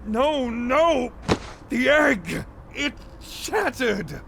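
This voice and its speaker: A man's voice: extremely high pitch, very animated, very slow, vocal fry, crowd noise, full character voice. A man playing a suave, theatrical master thief in an audio drama, smug and easily flustered. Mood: panicked. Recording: music behind the voice.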